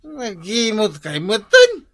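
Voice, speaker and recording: An actor playing a lazy, boozy, wheedling son-in-law in a radio drama, with an Ilocano accent. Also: male voice, sits high, wildly swooping pitch, takes it slowly, fairly bright tone, full character voice.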